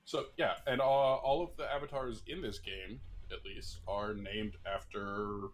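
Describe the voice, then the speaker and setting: A man's voice: lowish voice, steady tone, a little slow, rough recording. A man co-hosting a casual livestream with a dry, laid-back sense of humor. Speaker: stream co-host.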